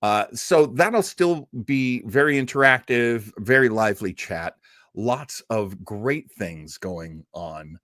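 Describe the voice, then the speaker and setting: A man's voice: on the low side, animated, slow pace, a bit bright, no echo, clean studio sound. A man hosting a live-streamed show, chatting informally with viewers in a rambling way full of asides. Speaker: host of a live-streamed radio nostalgia show. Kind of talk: casual chat, reading out and answering live viewer comments.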